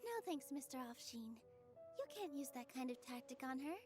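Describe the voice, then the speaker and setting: An actress playing a gentle, earnest young female dancer in a game cutscene. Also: sits high, animated, moderate pace. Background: game music.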